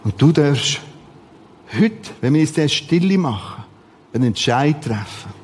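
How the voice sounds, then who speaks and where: A man with a Swiss German accent preaching a sermon in short, repeated, emphatic phrases, with a husky voice. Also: fairly high pitch, expressive, a little slow, darkish tone, slight background noise.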